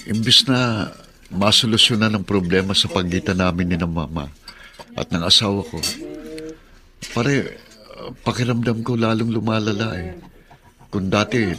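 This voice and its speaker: Troubled, lowish-voiced young husband. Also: male voice, bright, forceful tone. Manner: downcast, burdened. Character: troubled, torn young husband and dutiful son. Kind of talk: Filipino radio drama acting.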